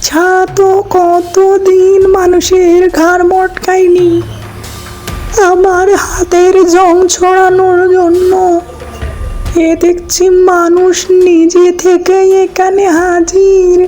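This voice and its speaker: Very high, slow ghost. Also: female voice, completely flat delivery, dark tone, soft background music. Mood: gleeful, scheming. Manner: slow, drawn-out menacing delivery. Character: menacing female ghost hungry to break human necks. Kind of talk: cartoon horror-comedy voice acting.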